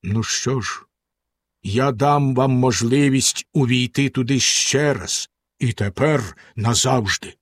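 A man voicing a cruel, cold husband who speaks slowly and sternly with menace. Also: mid-range voice, no room sound. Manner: slow, stern, threatening delivery.

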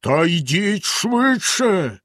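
A man playing a cold, cruel storybook husband, measured and threatening, his words dropping one by one. The voice sits a little high for a man and sounds close and dry. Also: very animated, fairly bright tone, no echo, exaggerated voice. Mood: bellowing. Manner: slow, stern, threatening delivery.

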